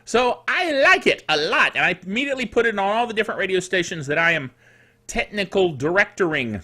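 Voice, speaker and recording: A man with a high, bright voice hosts a casual solo tech news show. His delivery is very animated, moving between read-out items and playful, exclamatory asides. His throat is a little sore. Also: a little fast. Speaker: host of a tech news podcast.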